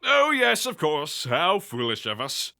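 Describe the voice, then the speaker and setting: A man as a bright, high-voiced genteel immortal. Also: wildly swooping pitch, a little slow, gravelly, heavily acted. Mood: courteous. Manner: polite, formal, effusive. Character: genteel, doting supernatural owner of magnificent beasts.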